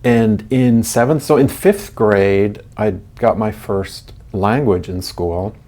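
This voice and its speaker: A man's voice: fairly low pitch, takes it slowly, warm tone. German-raised man in his sixties, reflective. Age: 60s. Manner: reflective, chuckling, many restarts. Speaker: guest. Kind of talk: casual interview chat.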